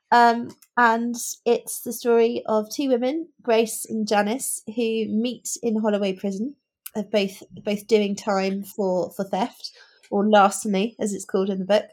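A woman's voice: on the high side, darkish tone. A British woman co-hosting a podcast, talking casually about books in a chatty, informal way. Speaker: podcast co-host. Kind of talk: casual book discussion.

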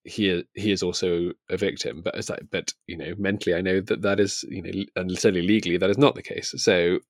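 A man with a low voice chats about novels as a podcast host. He feels his way through each thought, rephrasing as he goes. The recording is dry, with very little room sound. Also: steady tone, brisk, no echo. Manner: hesitant, thinking aloud, often rephrasing. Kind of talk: casual book discussion.

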